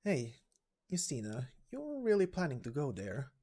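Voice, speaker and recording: A man voicing a concerned villager in a game story, talking in a gentle, earnest way. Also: mid-range voice, huge pitch swings, measured pace, heavily acted. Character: concerned villager questioning a would-be knight friend.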